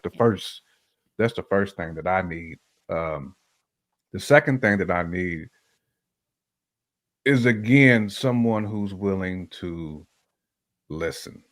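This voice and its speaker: Deep-voiced man in casual, emphatic talk. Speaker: podcast guest. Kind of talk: casual conversational opinion talk.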